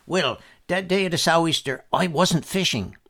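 A man's voice: on the high side, lively intonation, unhurried, gravelly, a bit bright, pitched above the performer's own voice, exaggerated voice. A man voicing a wiry, stubborn old fisherman in his nineties with a sly, grumbling manner. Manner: sly, cantankerous, dialect speech.

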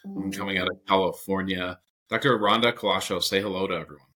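A man's voice: low voice, fairly even intonation, fast talker, sharp tone, a little hiss or hum. A man hosting a dental podcast, chatty and enthusiastic as he interviews a guest. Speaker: podcast host.

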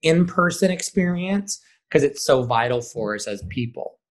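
A man who teaches and directs theater, answering interview questions in an expressive, emphatic, conversational way. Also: fairly high pitch, moderate pace.

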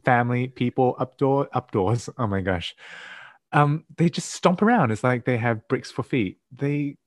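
A man's voice: mid-range voice, lively intonation, unhurried, no room sound, studio quality. A man from Australia whose first language is Mandarin, co-hosting a casual podcast in a playful, camp, mock-dramatic way with frequent laughter. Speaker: podcast co-host.

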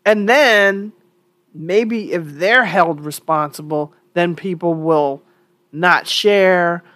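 A woman's voice: fairly low pitch, slow pace, a bit bright, no echo. A woman hosting her own opinion podcast, still a little congested from a cold, talking in a conversational, opinionated way. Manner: conversational and opinionated, thinking aloud with fillers. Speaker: podcast host. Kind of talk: solo opinion podcast monologue.

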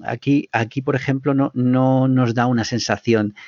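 A man from Spain with a Spanish accent, a guest on a podcast, talking in a measured, thoughtful way with many hesitations. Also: mid-range voice, fairly even intonation, a little mellow, no echo. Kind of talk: casual podcast conversation. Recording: dull, muffled dry audio.

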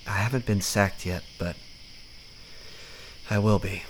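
An actor playing an anxious, defiant man in an audio drama, speaking haltingly. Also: deep voice, fairly even intonation, a little hiss or hum. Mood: resigned. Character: Griffin, anxious, defiant man sneaking out at night.

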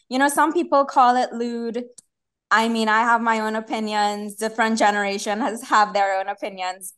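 A woman in her thirties from Trinidad with a strong Trinidadian accent, a podcast guest explaining things in a relaxed, casual way. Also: mid-range voice. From Trinidad and Tobago. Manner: explanatory, relaxed, repeats words while thinking. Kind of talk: casual interview chat.

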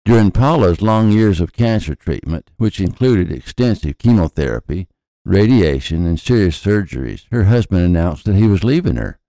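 A man's voice: sits low, lively intonation, moderate pace, warm tone, no room sound. An older man reading a scripted personal introduction as a podcast host.

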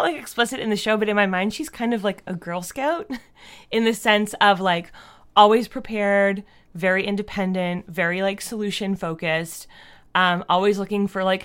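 A woman answering interview questions as a guest in a casual, thoughtful and halting way, often saying "like". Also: mid-range voice, fairly bright tone, smooth. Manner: thoughtful, halting, frequent "like" as a verbal habit. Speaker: podcast creator and writer, interview guest.

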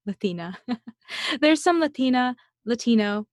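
Chatty host with a faint Filipino accent, dry audio. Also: female voice, a little high, lively intonation, slow, no room sound. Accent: slight Filipino. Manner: chatty, goes on tangents, laughs often. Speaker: podcast host. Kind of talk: casual interview.

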